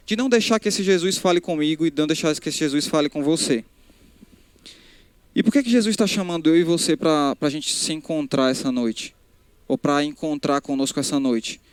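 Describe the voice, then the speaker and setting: Young preacher, higher-pitched voice, smooth and clear, dry close mic, speaks conversationally to a live crowd. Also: male voice, no room sound. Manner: conversational, addresses crowd directly, frequent restarts. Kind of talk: casual live sermon. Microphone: handheld microphone.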